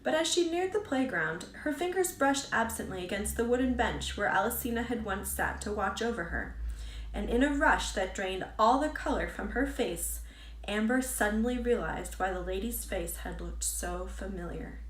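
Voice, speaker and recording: A woman's voice: medium pitch, expressive, normal speed, slight background noise. A woman reading a storybook aloud as a scripted narration, as an author reads her own book. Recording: roomy echo, distant from mic.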